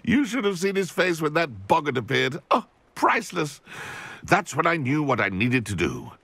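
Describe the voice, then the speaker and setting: Haughty, animated British schemer. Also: male voice, fairly high pitch, normal speed, vocal fry, husky, dry recording, exaggerated voice. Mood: gloating. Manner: haughty, sneering, fussily articulate. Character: spiteful, smug grown man nursing an old schoolboy grudge. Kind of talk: dramatic video game voice acting.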